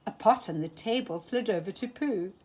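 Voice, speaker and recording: A woman reading a children's picture book aloud for storytime, with an expressive, animated delivery. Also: mid-range voice, moderate pace. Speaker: storytime reader.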